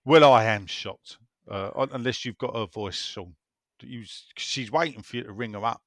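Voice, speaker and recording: A man hosting a casual podcast, chatty and jokey, a little hoarse. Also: on the low side, normal speed, no echo, studio quality. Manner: chatty, jokey, lively delivery. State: getting hoarse. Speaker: podcast host reading listener messages. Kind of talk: casual banter.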